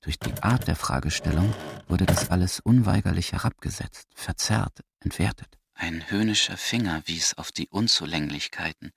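A man narrating a literary radio drama in a measured, precise and theatrical manner. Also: low-pitched, gravelly, husky, no echo.

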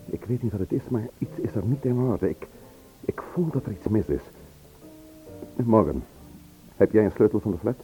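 A man's voice: fairly low pitch, expressive, moderate pace, pauses often, over music, close to an everyday voice, poor audio. An actor playing a troubled, insistent man in a Dutch radio play, with urgent questions and hesitant repetitions. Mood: uneasy, insistent. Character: troubled, insistent man worried for his sister-in-law. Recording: old radio recording, faint noise.

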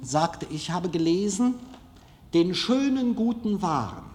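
Slow, deliberate man lecturing in a reverberant hall. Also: high-pitched, animated, darkish tone, a little hiss or hum. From Germany. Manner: deliberate, rhetorical, with dramatic pauses. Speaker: film director giving a public lecture. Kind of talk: anecdotal public lecture.

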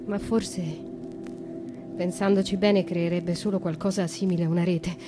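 An actress playing a brooding young noblewoman imprisoned in a dark cell, speaking in a lyrical, slow, reflective way in a radio drama monologue. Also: on the low side, flat delivery, music in the background, lightly acted. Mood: dreamy, wistful.

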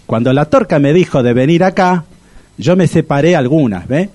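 An actor playing a bumbling, naive older bachelor in a radio comedy, stammering and repeating himself in a Rioplatense Argentine accent. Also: male voice, on the high side, lively intonation, moderate pace, mellow. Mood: eager, proud. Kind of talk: radio comedy sketch acting.

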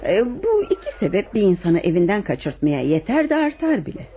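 An actress playing a charming, poised young wife under suspicion, speaking deliberately and dramatically in a radio play. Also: female voice, medium pitch, huge pitch swings, dark tone. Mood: pleading, wounded. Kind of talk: radio theatre acting.

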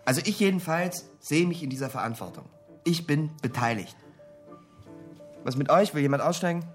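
An actor playing the earnest, brooding head of a small detective agency in a radio crime drama, explaining firmly and deliberately. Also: male voice, on the high side, lively intonation, faint music behind, close to an everyday voice. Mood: pensive, then insistent.

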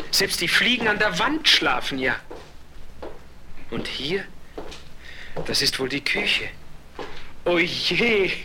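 A young fairy-tale prince, ardent and theatrical. The voice is very bright and somewhat high, with slow, deliberate phrasing. It comes from an old radio play on vinyl. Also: male voice, exaggerated voice. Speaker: voice actor. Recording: faintly noisy, clicking vinyl record.

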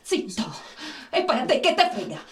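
A woman's voice: high voice, sing-song, moderate pace, distant mic, a crowd in the background. An actress playing a bossy, irritable woman who feeds stray cats in a comic radio play, snappy and scolding. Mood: scornful scolding. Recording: roomy, noisy, off-mic radio play.

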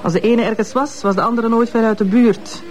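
A woman's voice: a little high, fairly even intonation, fairly quick, a little mellow, soft background music, close to an everyday voice. An actress with a Flemish accent playing a bitter, sardonic ex-wife in her fifties in a radio drama, speaking in a mocking, insinuating way. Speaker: witness, ex-wife.

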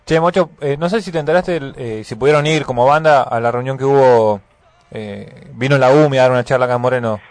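A man's voice: medium pitch, a little fast, darkish tone, no room sound. A man with a Buenos Aires accent hosting an informal radio interview in friendly slang. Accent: Buenos Aires Argentine Spanish. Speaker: radio show host.

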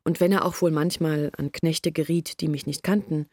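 A woman's voice: deep voice, steady tone, moderate pace, a little mellow, no room sound, clean studio sound. An actress playing a young village woman who earnestly confides her family's troubles in a radio play. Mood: earnest, confiding. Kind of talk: German radio play monologue.

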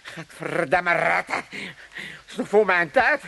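Creaky, grumbling old man. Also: high-pitched, animated, unhurried, bright, forceful tone, full character voice. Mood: fearful indignant. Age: elderly. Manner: moaning, muttering complaints and oaths. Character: grumbling, self-pitying old Amsterdam father, locked away. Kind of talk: comic radio play acting.

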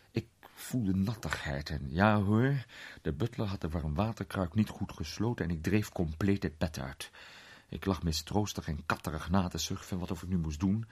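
An actor playing a curious amateur sleuth who tells a suspenseful mystery story in animated, colloquial radio drama narration. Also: male voice, low voice, husky, exaggerated voice. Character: curious male amateur sleuth recounting a suspenseful night adventure.

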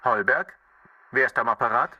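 A man's voice: medium pitch, moderate pace, a crowd in the background. An actor playing a cold, evasive bourgeois man on the telephone, condescending and politely dismissive, in a radio drama. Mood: guarded, polite. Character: cold, evasive bourgeois brother-in-law, coolly self-serving. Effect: telephone filter. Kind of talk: German radio play acting. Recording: harsh, thin telephone line, distant.

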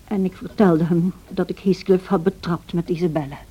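A woman playing an observant servant who narrates the story of a radio drama in a measured way. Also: lowish voice, fairly even intonation, husky, lo-fi sound. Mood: grave.

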